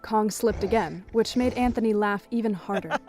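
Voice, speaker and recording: A woman's voice: medium pitch, normal speed, darkish tone. A woman reading scripted third-person narration for an audio drama as its storyteller. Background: music.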